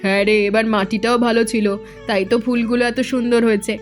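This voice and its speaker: A woman plays a village mother in a cartoon story, fond and practical. Her voice sits high, and she talks in a gentle, lightly scolding way, with faint music behind. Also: fairly even intonation, quick pace, natural voice. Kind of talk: cartoon voice acting.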